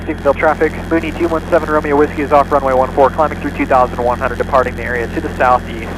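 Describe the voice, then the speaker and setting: A male pilot making routine radio calls in rapid, standard phraseology. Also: mid-range voice, flat delivery. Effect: aircraft radio. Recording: radio audio with engine noise.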